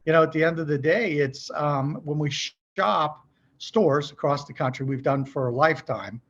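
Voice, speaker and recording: Gravelly, husky middle-aged businessman explaining. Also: a little high, moderate pace, fairly bright tone, muffled recording. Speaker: podcast guest, company executive.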